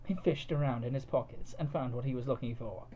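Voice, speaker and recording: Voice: mid-range voice, fairly quick, mellow, dull audio, a little hiss or hum. A narrator giving a mock-serious dramatic reading of fan fiction.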